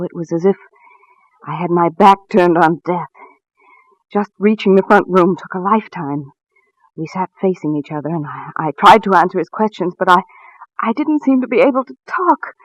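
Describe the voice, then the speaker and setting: Frightened, halting woman played by an actress, 1940s radio. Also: lowish voice, no room sound, muffled recording, close to an everyday voice. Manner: hesitant, halting, repeating words. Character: frightened, nervous married woman telling a tense confession. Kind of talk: 1940s radio drama acting. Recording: vintage 1940s radio recording, faint music.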